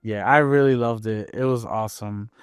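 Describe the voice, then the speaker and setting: A man's voice: lowish voice, moderate pace, clean tone, dry recording, studio quality. A man co-hosting a podcast, talking in an easygoing, hesitant way with many fillers and restarts. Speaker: podcast co-host. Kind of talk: casual chat.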